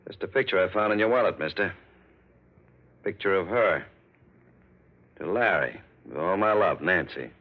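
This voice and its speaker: A very deep, bright-edged voice that swings widely in pitch, as a bullying lawman. He is gruff and curt, with a folksy drawl, in the manner of 1940s radio thrillers. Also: lively intonation, normal speed, pauses often, full character voice. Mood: menacing, gloating.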